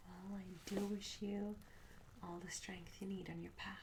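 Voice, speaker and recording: A woman voicing a shy, curious young medieval tavern maid in a soft-spoken, half-whispered ASMR roleplay, gentle and hesitant with formal old-fashioned wording. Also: mid-range voice, little pitch movement, moderate pace, slight background noise, close to an everyday voice. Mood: gentle, hospitable. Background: tavern ambience sounds.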